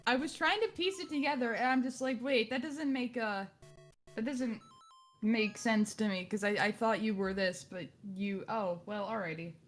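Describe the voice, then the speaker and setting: A young woman, chatting casually as a livestream guest in a hesitant, halting, self-deprecating way. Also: fairly high pitch, faint music behind. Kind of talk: casual chat.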